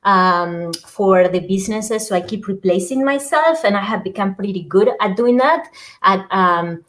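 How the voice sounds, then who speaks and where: A woman's voice: medium pitch, animated, moderate pace, fairly bright tone. A woman from Argentina with an Argentine Spanish accent, a guest in a casual interview, talking with lots of ums and uhs and small laughs. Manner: hesitant, many ums and uhs, laughs often. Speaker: guest app creator.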